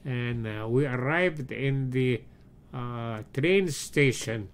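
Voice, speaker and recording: A man in his seventies, recorded at home for an oral history. He speaks at a very slow pace and fills his sentences with hesitations, at an ordinary middle pitch. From Egypt. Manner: slow, halting storytelling with many pauses and fillers. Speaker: interviewee, retired engineering professor.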